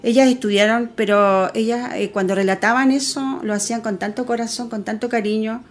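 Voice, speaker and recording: A woman's voice: a little high, fairly even intonation, brisk. A woman co-hosting a radio program, speaking earnestly and affectionately about family memories.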